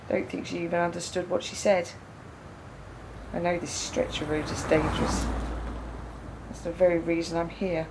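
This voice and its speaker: A woman's voice: lowish voice, flat delivery, unhurried, long pauses, noisy background. An actress playing a frank, wry, grieving woman in a naturalistic radio drama, speaking reflectively and repeating phrases for emphasis. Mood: wry, brittle. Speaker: radio play actor.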